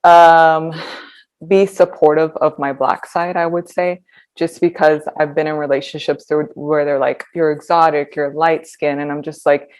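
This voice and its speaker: A woman in her twenties, a podcast guest talking reflectively, pausing often with ums. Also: low-pitched, darkish tone, smooth. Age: 20s. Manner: reflective, hesitant with frequent ums. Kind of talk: conversational interview.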